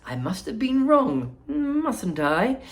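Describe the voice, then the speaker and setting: A woman voicing an eccentric, excitable little man, a storybook factory owner who welcomes people effusively in a high, fluty voice. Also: full character voice. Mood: gushing, delighted. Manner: effusive, theatrical welcoming, drawn-out delighted words. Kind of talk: storybook character voice.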